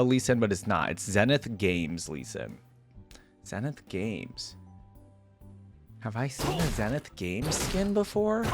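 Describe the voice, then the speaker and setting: Enthusiastic man reacting, slangy. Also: mid-range voice. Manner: slangy, enthusiastic, thinking aloud. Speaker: reaction video host. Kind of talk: casual gaming reaction commentary. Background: game music.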